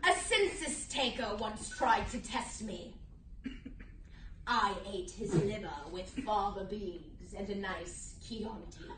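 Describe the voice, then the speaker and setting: A woman's voice: medium pitch, fairly bright tone, voice pushed down. A woman voicing a puppet snail who plays a cultured, taunting cannibal doctor, in a theatrical, condescending amateur reading. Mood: menacing, playful. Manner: slow, theatrical, drawn-out, condescending delivery. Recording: roomy, distant mic, faint noise.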